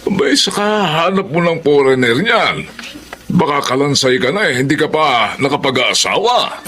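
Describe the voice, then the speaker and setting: A man playing a folksy, chiding middle-aged father in a Filipino radio drama, unhurried and expressive, with a Filipino accent. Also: on the high side, over music.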